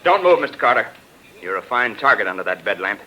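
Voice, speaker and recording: Gloating tough killer in old radio crime show, sharp forceful voice, menacing. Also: male voice, fairly low pitch, sing-song, fast, husky, heavily acted, rough recording. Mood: smug menace. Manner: menacing, smug, tough-talking. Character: cold, gloating murderer holding the detective at gunpoint. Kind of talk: 1940s radio crime drama acting. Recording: old radio broadcast recording.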